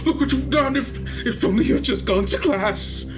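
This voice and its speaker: A man's voice: very high-pitched, expressive, moderate pace, music in the background, voice pushed up. A man voicing a terrified, stammering man who pleads and blames in a tabletop game. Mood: panicked. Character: terrified man panicking and blaming others. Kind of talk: tabletop game role-play.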